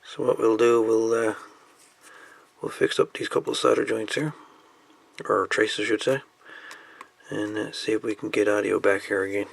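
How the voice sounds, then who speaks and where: A man gives a casual, hands-on repair demonstration in a fairly low voice with a lot of gravel in it. He is folksy and hesitant, thinking aloud as he works. The recording has faint noise behind him. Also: little pitch movement, normal speed, pauses often. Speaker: hobbyist radio repairman presenting a repair. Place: indoors at a repair bench.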